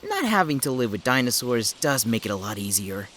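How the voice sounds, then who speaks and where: A man's voice: medium pitch, sing-song, fairly quick, fairly bright tone, a little hiss or hum. A man playing a young former police officer in an audio drama, earnest, hesitant and often puzzled. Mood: amused, surprised. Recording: background music under the voice.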